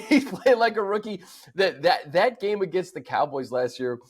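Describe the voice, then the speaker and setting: A man's voice: high voice, very animated, moderate pace, breathy edge. An American man hosting a sports show in a casual, conversational style. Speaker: sports podcast host.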